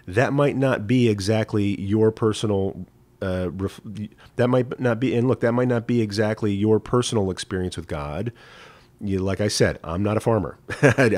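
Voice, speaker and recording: A man's voice: on the low side, darkish tone. A man, a rabbi, answering viewers' questions on a live stream in a conversational teaching manner, often checking in with "right?". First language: English. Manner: conversational teaching, frequent "right?" asides and restarts.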